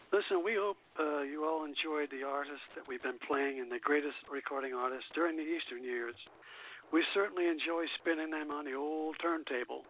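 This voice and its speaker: An older man from Florida hosting a radio show, chatting casually and reading out the sign-off. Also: a little high. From Florida, United States. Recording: thin phone-like radio audio.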